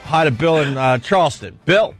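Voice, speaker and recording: A man's voice: a little high, animated, moderate pace, soft background music. A man hosting a radio show with casual comedic banter, mocking and incredulous in manner. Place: radio studio.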